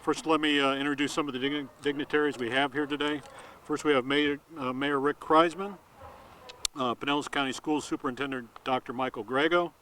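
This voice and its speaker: A man acting as a police spokesman and emcee, introducing guests haltingly and stumbling over names. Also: a little high, steady tone, pushed and bright, slight background noise, low-quality recording. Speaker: police media relations officer, emcee. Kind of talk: informal introductions at press conference.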